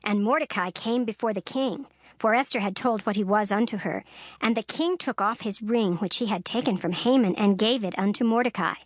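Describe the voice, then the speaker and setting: A woman narrating a dramatized scripture reading in a measured, formal manner. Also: medium pitch, dry recording, clean studio sound. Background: music.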